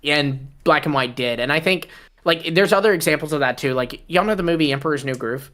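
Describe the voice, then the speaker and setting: Co-host, young chatty guy, brighter-pitched voice. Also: a little fast. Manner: chatty, filler-heavy, says y'all. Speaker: podcast co-host. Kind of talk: casual livestream chat.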